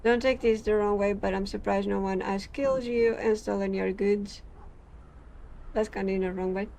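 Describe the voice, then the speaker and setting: A woman from Portugal, a gaming creator reading game text aloud and chatting in a halting way, full of fillers and restarts. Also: mid-range voice, fairly even intonation, vocal fry, warm tone.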